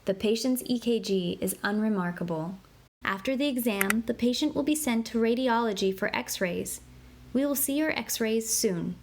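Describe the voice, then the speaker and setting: A woman reads an interactive children's book aloud in a clear, explanatory way. Her voice sits at a middle pitch, and she slows down to spell out medical terms. There is clear background noise under her voice. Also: a little mellow. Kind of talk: scripted read-aloud narration for kids.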